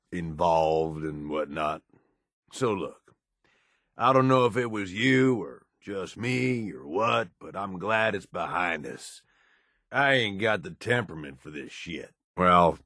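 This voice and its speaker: An actor playing a roguish, cynical but loyal raider in a video game, with a folksy drawl. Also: male voice, deep voice, a bit bright, dry recording, clean studio sound, exaggerated voice. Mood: awkward, sincere. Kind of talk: video game companion dialogue.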